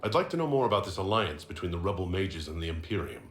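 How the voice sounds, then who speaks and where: A man's voice: sits low, very animated, fast. An actor playing a firm, pragmatic hero in a video game, direct and assertive as he negotiates. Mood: businesslike, firm. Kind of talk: dramatic video game acting. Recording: roomy echo, faint noise.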